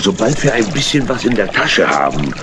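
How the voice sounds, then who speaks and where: An actor playing a sly, falsely chummy tramp in a children's audio play, with an oily, folksy drawl. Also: male voice, lowish voice, big pitch swings, brisk, heavy background noise. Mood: wheedling, crafty. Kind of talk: children's audio drama acting.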